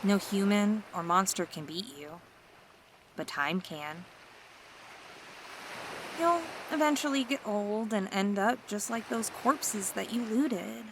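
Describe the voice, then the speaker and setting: A woman voicing a seductive, scheming mermaid in an ASMR roleplay, talking in a teasing, flattering, coaxing way. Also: medium pitch, slow pace, pauses often, noisy background.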